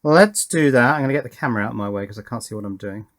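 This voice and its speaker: A man's voice: mid-range voice, rapid-fire, fairly bright tone, slight background noise. A man giving casual, understated hands-on commentary as he works on a hobby electronics build, often thinking aloud and hesitating. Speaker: hobby electronics video maker.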